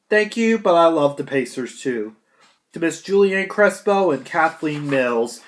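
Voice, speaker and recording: A young American man with a slightly high voice delivers a prepared graduation address. He sounds earnest and declamatory, setting out his points in short, emphatic phrases. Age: young adult. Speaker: graduating high school student giving a speech.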